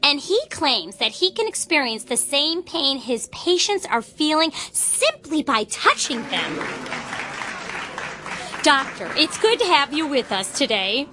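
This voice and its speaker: A woman's voice: high voice, big pitch swings, unhurried, crowd noise, background noise. An actress playing a bubbly, self-promoting female TV talk-show host in a radio drama, with a gushing presenter delivery. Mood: showy, upbeat.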